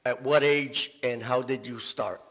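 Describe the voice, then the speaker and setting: A man's voice: medium pitch, steady tone, slow, a bit bright. A man hosting a sports talk show, asking questions in a conversational bilingual interview style. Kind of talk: conversational bilingual interview, switching between Spanish and English. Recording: echoey room with long reverb.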